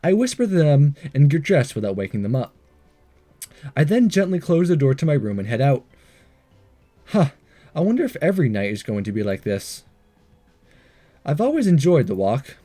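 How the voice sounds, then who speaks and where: A man's voice: fairly high pitch, sing-song, normal speed, long pauses, mellow, soft background music. A man hosting a game playthrough, reading visual novel text aloud with jokey asides and the odd stumble.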